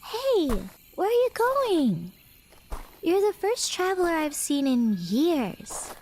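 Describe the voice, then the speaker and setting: A woman's voice: very high-pitched, big pitch swings, darkish tone, slight background noise, heavily acted. A woman playing a playful, teasing, clingy cat beastkin in a flirty ASMR roleplay, with a coy manner. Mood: pleading. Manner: coy, teasing, with drawn-out breathy whispers.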